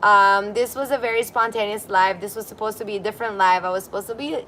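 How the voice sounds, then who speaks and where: A woman's voice: medium pitch, steady tone, fast talker, a bit bright. A woman hosting a casual livestream on her balcony, chatty and giggly, reading comments aloud. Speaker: livestream host. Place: apartment balcony by a busy street. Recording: outdoor street noise. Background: street traffic.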